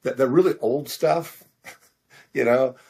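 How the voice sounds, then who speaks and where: A middle-aged American man answering questions in a casual interview, halting and self-deprecating as he searches for his words. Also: medium pitch, creaky, a little mellow, husky. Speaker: author interview guest.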